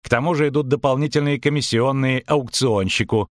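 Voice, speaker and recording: A man voicing a calm, proud shapeshifter posing as a halfling merchant, explaining things with self-assurance in an audiobook. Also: medium pitch, a little fast, no room sound, clean studio sound, voice pushed up, natural voice. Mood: smug, matter-of-fact. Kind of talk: audiobook character acting.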